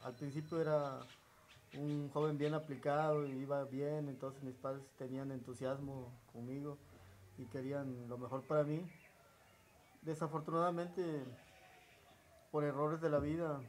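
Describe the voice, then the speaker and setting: Higher-pitched southern Mexican man, halting speech. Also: flat delivery, a little mellow, low-quality recording. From Chiapas, southern Mexico. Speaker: interview guest. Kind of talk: reminiscing in a relaxed interview. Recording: noticeable background ambient noise.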